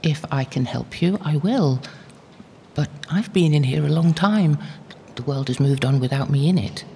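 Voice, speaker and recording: A woman plays a devout older recluse in an audio drama, low-voiced with a creaky edge. She speaks with measured, motherly calm and reassurance, in a reverberant hall. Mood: warmly welcoming. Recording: reverberant hall, faint background noise.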